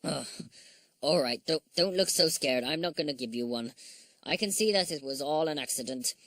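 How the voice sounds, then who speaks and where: A woman voicing a pompous village policeman in a storybook, stern and blustering but soft-hearted. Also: sits low, expressive, moderate pace, dry recording. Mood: stern, relenting. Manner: important, blustering.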